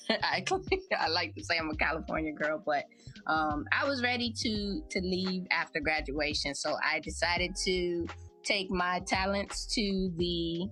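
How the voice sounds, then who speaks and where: A woman in her thirties, a podcast host, telling her life story in a relaxed, chatty, reflective way. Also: lowish voice, a little slow, soft background music. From United States. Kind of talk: casual personal storytelling.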